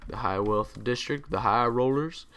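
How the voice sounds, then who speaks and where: A young man hosting a gaming channel, tired and a bit raspy, rambling casually to himself as he plays. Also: mid-range voice, measured pace, fairly bright tone. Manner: rambling, talks to himself while playing. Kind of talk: casual gameplay commentary. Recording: clear typing noise behind. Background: keyboard typing and clicks.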